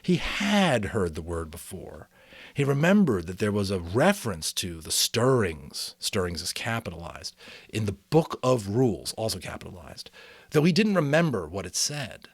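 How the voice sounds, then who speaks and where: A man giving a dramatic, expressive amateur audiobook reading with casual commentary. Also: on the low side, moderate pace, breathy edge, dry recording.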